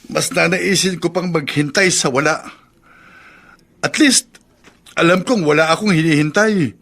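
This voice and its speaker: A man's voice: on the high side, unhurried, creaky, fairly bright tone, exaggerated voice. An actor playing an agitated young man whose girlfriend has left him, in a radio drama. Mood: distraught. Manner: agitated, complaining.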